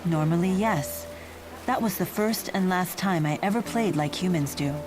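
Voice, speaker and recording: A woman's voice: on the low side, moderate pace, over music, natural voice. A woman playing a dignified, earnest spirit lord in a video game, composed, formal and matter-of-fact. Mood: wistful, composed.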